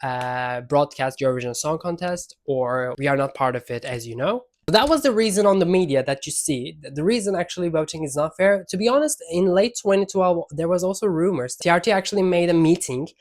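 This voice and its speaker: A man's voice: a little high, brisk, few pauses. Turkish-accented man, chatty vlog talk. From Turkey. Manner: frequent fillers and self-corrections, animated emphasis. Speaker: vlogger. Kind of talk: casual talk to camera.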